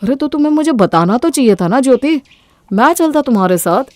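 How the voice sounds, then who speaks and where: A woman's voice: high-pitched, lively intonation, a little fast, no echo, pitched above the performer's own voice. A woman voicing a mild, accommodating young husband and devoted son in an audio story, with a reassuring manner. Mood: mild, conciliatory. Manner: conciliatory, reassuring.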